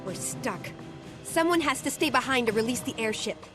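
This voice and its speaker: Woman playing a high, animated, impatient soldier. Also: moderate pace, music in the background, lightly acted. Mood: tense urgency. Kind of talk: video game cutscene acting.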